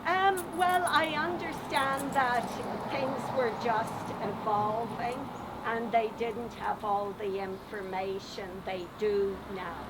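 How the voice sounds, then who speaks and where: A middle-aged woman from Ireland speaks slowly and with care, in a slightly raised pitch. She is interviewed outdoors, and loud traffic sounds behind her. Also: fairly even intonation. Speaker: interviewee on the street. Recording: loud street noise.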